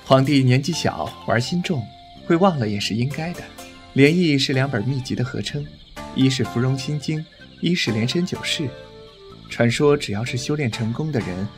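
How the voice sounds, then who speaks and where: A man plays a young male lover in an audio drama, tender and devoted. He speaks at a middle pitch with a teasing touch. Music is clearly heard underneath. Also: lively intonation, normal speed, close to an everyday voice. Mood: explaining.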